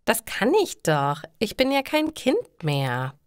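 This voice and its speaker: Frau spielt eine langsam sprechende, eifrige junge Tochter. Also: female voice, on the high side, huge pitch swings, clean tone, dry recording, studio quality, pitched above the performer's own voice, full character voice. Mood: eager. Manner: slow, simple storybook dialogue. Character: eager eighteen-year-old daughter, polite and unsure.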